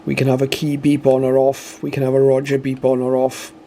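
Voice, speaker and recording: A man's voice: mid-range voice, monotone, fairly quick, mellow, slight background noise. A British man giving an informal, matter-of-fact product demonstration, explaining methodically step by step. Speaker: radio reviewer and demonstrator.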